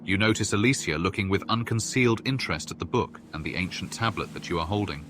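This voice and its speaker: A man's voice: low voice, fast talker, noisy background, close to an everyday voice. A man playing a solemn storyteller, reading scripted second-person narration for a fantasy game.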